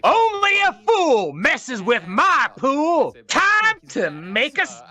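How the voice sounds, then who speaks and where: A bold, over-the-top dinosaur lifeguard from a video game, acted by a man. The pitch sits high and the tone is bright and forceful as it belts out catchphrases. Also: huge pitch swings, slow, heavily acted. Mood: bold, boastful.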